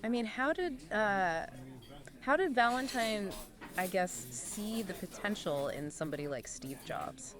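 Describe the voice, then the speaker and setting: A woman's voice: mid-range voice, expressive, measured pace, a crowd in the background. A woman speaking as an expert podcast guest, explaining things in an agreeable, conversational way. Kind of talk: casual explanatory chat.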